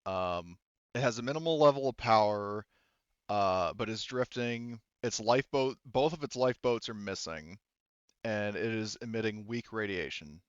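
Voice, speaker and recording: A man's voice: on the low side, moderate pace, clean tone, no echo, dull audio. A man working as a game master, improvising narration and banter for a tabletop game in a jokey way, feeling out his phrasing as he goes.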